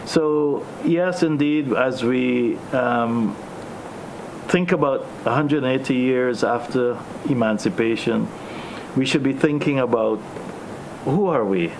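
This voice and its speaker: A Guyanese man leading a discussion, speaking in a measured way with many pauses and ums. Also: mid-range voice, noisy background. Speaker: host.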